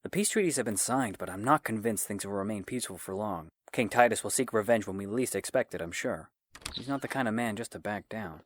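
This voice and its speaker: A man's voice: on the low side, animated, fairly quick. Man acting a dry swordsman with swooping intonation. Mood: weary, disdainful. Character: gruff, wry human swordsman, hired fantasy bodyguard. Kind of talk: fantasy audio drama acting.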